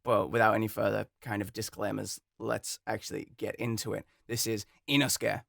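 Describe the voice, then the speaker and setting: A man's voice: on the low side, flat delivery, brisk, creaky, a bit bright, dry recording, studio quality. A young man chatting casually as host of a voice acting video, rambling and self-deprecating. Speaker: voice acting YouTuber. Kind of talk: casual chat.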